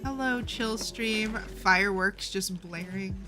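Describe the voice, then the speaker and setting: A tired woman chatting casually as she livestreams a game, with a slightly stuffed-up nasal voice and a halting, stammering delivery that often repeats words. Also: mid-range voice, low-quality recording. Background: music.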